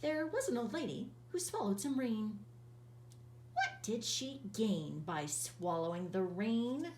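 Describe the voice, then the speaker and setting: A woman teacher reading a children's picture book aloud in an expressive, sing-song storytelling voice, drawing out words. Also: on the high side, slow pace, reverberant, distant mic, slight background noise.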